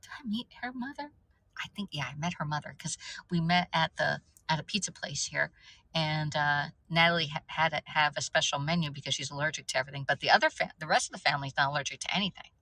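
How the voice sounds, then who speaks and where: Woman, 50s, livestream host, chatty and rambling, lowish bright voice. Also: quick pace, no echo, poor audio. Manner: rambling, chatty, talks to viewers by name. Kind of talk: casual livestream chat.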